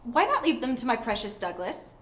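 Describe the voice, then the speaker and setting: A woman's voice: a little high, big pitch swings, a little fast, a bit bright, a little hiss or hum. A woman playing an indignant, devoted and theatrical character in a comedy-drama web series.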